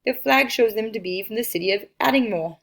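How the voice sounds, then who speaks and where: A woman's voice: on the high side, brisk, vocal fry. A woman reading aloud as a cheerful, take-charge male knight who organizes crowds, exclaiming his orders. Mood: concerned.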